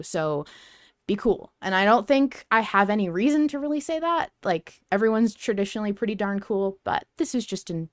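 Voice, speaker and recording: A woman's voice: mid-range voice, dry recording, studio quality. A woman hosting a podcast, talking conversationally as she presents listener submissions.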